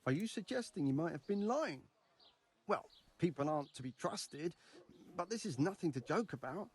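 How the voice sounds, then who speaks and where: Animated high-voiced eager medieval craftsman. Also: moderate pace, slightly rough. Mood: indignant, insistent. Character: medieval male craftsman, eager, devout, grasping relic enthusiast. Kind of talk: video game dialogue acting.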